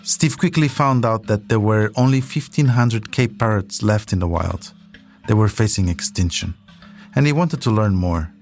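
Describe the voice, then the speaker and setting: A man narrates a scripted documentary podcast in a low voice. Music plays behind him as he tells the story as host. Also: moderate pace, darkish tone.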